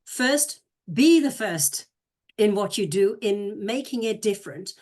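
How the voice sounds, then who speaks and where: Mid-voiced woman speaker, brisk and structured, panel answer. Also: mid-range voice, expressive, a little mellow. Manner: brisk, listing points clearly. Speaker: panel speaker, dance teacher.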